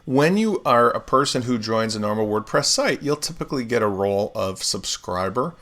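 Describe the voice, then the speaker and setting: A man hosting a live software tutorial, explaining steps in a relaxed way with everyday comparisons while still getting over laryngitis. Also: medium pitch, normal speed. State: recovering from laryngitis, swallowing often. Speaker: web developer tutorial host.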